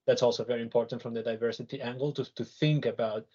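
A man with a husky voice giving his opinion as a panel guest, earnest and repeating words as he thinks. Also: mid-range voice, steady tone, fast, warm tone, highs cut off. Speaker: panel guest, tech director. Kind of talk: panel discussion, conversational opinion.

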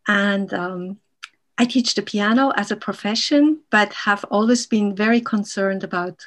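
A woman's voice: mid-range voice, measured pace. A woman from Switzerland, a piano teacher, speaking measuredly as an interview guest over a video call, with frequent ums.

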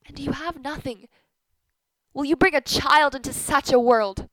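Actress as sharp-tongued middle-aged wife, bitter, sardonic, highish pitch, close dry sound, radio play. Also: female voice, unhurried, no echo, low-quality recording. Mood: pressing, harsh. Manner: blunt, sardonic.

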